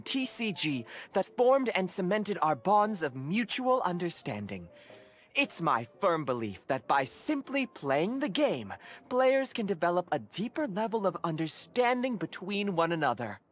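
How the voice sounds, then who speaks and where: A man's voice: high-pitched, big pitch swings, a little slow. A man playing a grandiose, formal and reverent disciple who translates for a cat in a video game. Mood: solemn. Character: pompous, devoted male card-game disciple translating for a cat. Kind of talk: video game cutscene acting. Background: game music.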